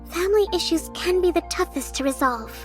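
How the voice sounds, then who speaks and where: A woman voicing a gentle, composed, wise young traveler in a video game cutscene, measured and tactful. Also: very high-pitched, animated, soft background music. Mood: gently consoling.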